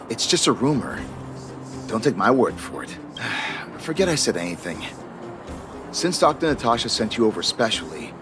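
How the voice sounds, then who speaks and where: A man's voice: on the low side, lightly acted. An actor voicing a glum, fatalistic male hypochondriac patient in a video game, resigned and defensive. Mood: confiding. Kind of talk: video game character acting. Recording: music behind the voice.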